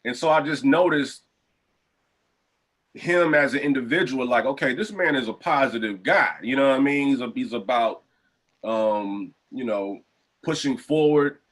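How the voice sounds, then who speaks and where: A man hosting a casual podcast interview over a video call, talking in relaxed slang. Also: medium pitch, moderate pace. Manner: laid-back, relaxed slang. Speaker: podcast host.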